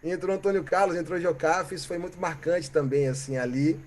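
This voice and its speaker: A Brazilian man from Bahia, a musician guest, telling stories in a reflective, animated way and often ending with "né?" or "sabe?". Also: a little high, fairly quick. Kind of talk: reflective conversational storytelling.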